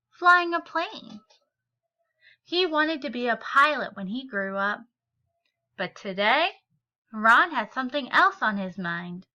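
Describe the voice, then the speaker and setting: A woman reading a children's picture book aloud in an expressive, deliberate and emphatic way. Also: on the high side, lo-fi sound. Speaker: read-aloud storyteller.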